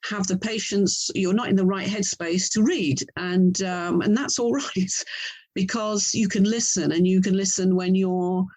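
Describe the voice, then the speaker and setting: A woman's voice: mid-range voice, fairly even intonation, a little slow, darkish tone. A middle-aged woman with an English accent talking casually as an interview guest, thoughtful and chatty. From England. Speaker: writer and audio drama producer, interview guest.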